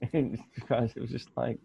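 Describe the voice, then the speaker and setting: An American man co-hosting a podcast in casual chat, halting with many restarts and fillers. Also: medium pitch, flat delivery, vocal fry, husky, dull audio. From United States. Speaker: podcast co-host.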